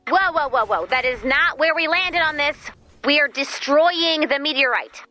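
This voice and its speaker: A brisk, caring mission guide in a video game who is easily exasperated. Her voice is very high and bright, chirpy and conversational, over faint music.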